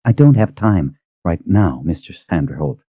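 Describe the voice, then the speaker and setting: A man voicing a tired but determined young noblewoman who speaks gently and firmly. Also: low-pitched, sing-song, moderate pace, slightly rough, no echo, exaggerated voice. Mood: urgent. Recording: narrow tinny phone-like sound.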